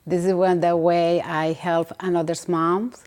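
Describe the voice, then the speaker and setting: Brief: Colombian woman, Spanish-native, earnest learner's English, slightly low voice. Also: little pitch movement, darkish tone. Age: adult with school-age children. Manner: earnest, simple halting English. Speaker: adult English learner, guest. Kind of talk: interview answers.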